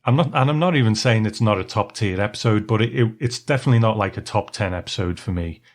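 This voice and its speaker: A man's voice: fairly low pitch, quick pace. A man co-hosting a podcast in a casual, easygoing, conversational way. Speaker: podcast co-host. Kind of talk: casual chat.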